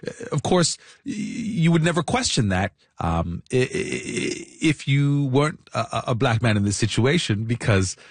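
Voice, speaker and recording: A man's voice: mid-range voice, very animated, normal speed, few pauses, breathy edge, no echo. A man hosting a live radio interview, talking conversationally with fillers and restarts into a studio microphone. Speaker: radio host.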